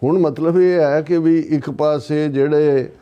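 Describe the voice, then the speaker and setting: A man's voice: on the high side, steady tone, measured pace, dark tone, clean tone, highs cut off. A man with a Punjabi accent gives conversational political commentary as a talk show commentator, in a sardonic, emphatic manner.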